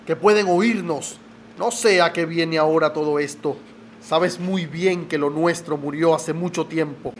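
Man playing a stern, indignant tycoon father, radio soap, fairly high voice, faint noise. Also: fairly even intonation, unhurried, close to an everyday voice. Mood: defiant, exasperated. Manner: indignant, melodramatic. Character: proud, stern middle-aged business magnate father. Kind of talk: radio soap opera acting.

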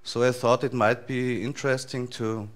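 A man in his thirties with an Austrian accent, giving a relaxed, loosely structured talk to a conference audience in a large lecture hall. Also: mid-range voice, normal speed. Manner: relaxed, thinking aloud with frequent fillers and restarts. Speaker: conference speaker.

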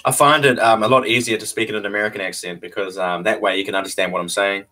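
A young man with a New Zealand accent chatting on a webcam stream from a small bare room. His voice sits fairly low but sounds bright and forward, and his delivery is easygoing, with frequent chuckles and phrases said twice. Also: fairly even intonation, fast talker. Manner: laid-back, chuckles often, repeats phrases. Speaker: vlogger hosting a livestream. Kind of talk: casual livestream chat answering viewers. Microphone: webcam at desk distance.

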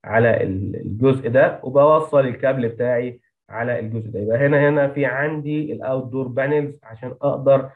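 A man's voice: mid-range voice, quick pace, narrow, tinny audio. A man with an Egyptian Arabic accent lecturing through technical slides as an instructor, dropping English technical terms into his speech. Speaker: engineering instructor.

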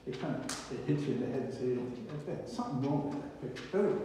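A man's voice: mid-range voice, animated, quick pace, warm tone. An American man in his seventies giving an informal, digressive sermon in a conversational way, often checking in with "right?". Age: 70s. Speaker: preacher. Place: small church hall indoors. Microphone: far camera or phone. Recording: echoey hall, distant camera microphone.

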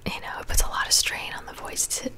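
A woman's voice: fast talker, pushed and bright. Woman whispering ASMR, close mic. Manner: chatty, conversational whisper. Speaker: ASMR creator. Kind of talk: whispered ASMR gossip chat. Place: small bedroom, indoors. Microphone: large studio mic close to the mouth.

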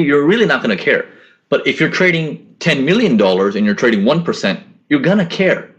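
A young man hosting a live trading webinar from home, answering chat questions in a casual coaching manner and often repeating his words. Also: medium pitch. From grew up in Korea and the US. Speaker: forex trading webinar host. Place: small indoor room at home. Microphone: laptop webcam mic on a video call.

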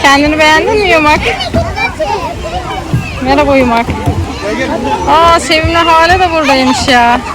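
A high-voiced woman with an Istanbul Turkish accent is vlogging outdoors. She chats casually, switching between addressing a child and her viewers, with a busy crowd audible around her. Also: normal speed, long pauses. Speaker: vlogger parent filming a child. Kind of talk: casual vlog commentary. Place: outdoor children's theme park. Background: crowd chatter.

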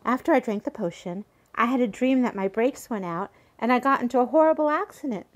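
A woman plays a trusting, gullible female customer in an audio drama. She speaks in a somewhat high voice, earnest and effusive, hanging on her psychic's every promise. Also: lively intonation, a little fast, lightly acted. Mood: grateful, gushing.

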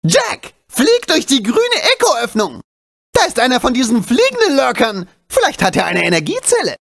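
Small cheeky animal sidekick, high-pitched, swooping intonation, excitable, urgent, exclamatory, video game character. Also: male voice, moderate pace, gravelly, heavily acted. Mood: excited, urgent, then chatty, advising.

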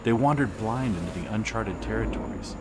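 A grandfather acted by a man tells a sci-fi tale in an audio drama. His voice is low, and there is clear noise behind him. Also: brisk, lightly acted. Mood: storytelling. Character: grandfather telling his grandchild a space saga. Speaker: narrator. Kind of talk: storytelling narration.

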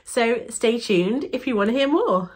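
A young British woman chats excitedly to camera, her voice thick and nasal from a cold. She gushes and laughs often as she talks. She sits close to the camera in a small bedroom. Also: a little high, measured pace. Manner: bubbly, gushing, laughs often. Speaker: beauty and fashion YouTuber. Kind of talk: chatty product-review vlog. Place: bedroom, seated on a sofa, indoors.

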